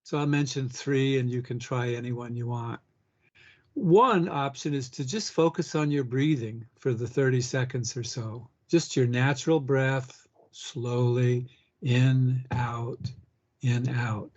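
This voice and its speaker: A man gives gentle, step-by-step meditation guidance in a mid-pitched voice. He speaks in short, unhurried phrases with pauses between them. The recording is a little dull and lo-fi. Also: fairly even intonation. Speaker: meditation guide. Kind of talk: guided meditation, gentle instruction.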